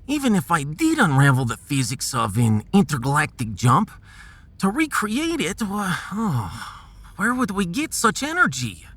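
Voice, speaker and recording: A man voicing an excitable, grumbling male scientist in a sci-fi audio drama, theatrical and sardonic. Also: fairly high pitch, measured pace, breathy edge, no room sound, full character voice. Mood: flustered, doubtful. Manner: theatrical, exclamatory.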